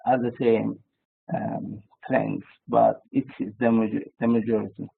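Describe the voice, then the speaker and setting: A slow, halting man from Egypt speaks with a gravelly, husky voice, filling his pauses with many hesitations. The sound is thin and phone-like, as in a webinar recording. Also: fairly low pitch, flat delivery. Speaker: cataloger and panelist.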